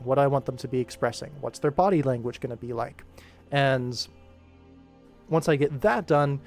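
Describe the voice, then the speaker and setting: A man with a medium-pitched voice talks casually on a work livestream. He explains his process and thinks aloud as a director, while music plays faintly behind him. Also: darkish tone.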